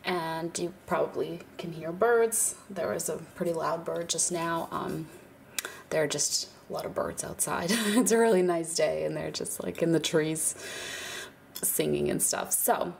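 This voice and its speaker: A woman's voice: sits low, a little slow, vocal fry, slight background noise. A woman with a stuffy nose from a cold, chatting casually as she walks through a hands-on craft tutorial, with fillers and small laughs. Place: indoors at a craft table, birds outside.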